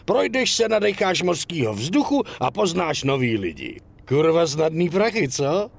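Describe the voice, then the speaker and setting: Swaggering union boss, animated. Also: male voice, on the high side, gravelly, a little hiss or hum. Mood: persuasive, slick. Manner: bossy, blunt, swearing, talks down to others. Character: cynical, foul-mouthed middle-aged male dockworkers' union boss. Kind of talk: dubbed crime game cutscene acting.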